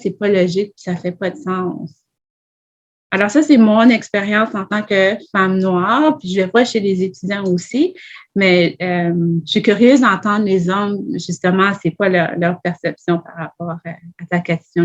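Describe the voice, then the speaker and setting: A woman's voice: medium pitch, steady tone, unhurried. A woman, a podcast guest speaking earnestly in a panel discussion. She builds her points one after another and presses with questions. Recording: dull lo-fi audio.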